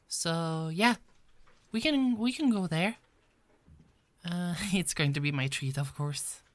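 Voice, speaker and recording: A woman's voice: lowish voice, expressive, takes it slowly, dry recording. A woman playing a frazzled but friendly police detective in an ASMR roleplay, with a hesitant, stammering delivery that often repeats words. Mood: shy, pleased. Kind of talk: ASMR roleplay, one-sided dialogue.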